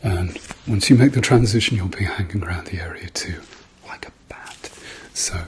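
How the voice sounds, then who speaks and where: A man speaking softly to the listener as a doctor in an ASMR medical roleplay, murmuring reassuringly and often slipping into whispers. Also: low-pitched, normal speed, a little hiss or hum. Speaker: ASMR performer playing a doctor.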